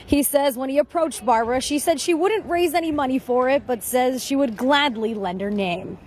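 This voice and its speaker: High-voiced American woman reporting live. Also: normal speed, a little mellow, a little hiss or hum. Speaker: TV news reporter. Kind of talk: live field news report. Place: on location at a hospital.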